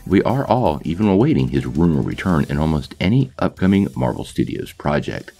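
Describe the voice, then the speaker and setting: A man's voice: extremely low pitch, sing-song, brisk, warm tone, faint music behind. A man hosting a comic nostalgia channel, reading a scripted voice-over in an enthusiastic, playful storytelling manner. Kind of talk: scripted video narration.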